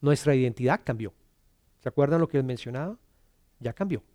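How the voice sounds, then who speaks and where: A middle-aged man from Colombia, preaching an earnest sermon, often repeating words as he starts and dropping to a soft hush on scripture. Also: mid-range voice, animated, brisk, no room sound, studio quality. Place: church.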